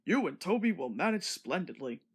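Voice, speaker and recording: A woman's voice: on the low side, big pitch swings, normal speed, breathy edge, exaggerated voice. Woman playing a hearty, pompous railway boss man. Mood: proud, approving. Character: kindly, authoritative older man, railway boss.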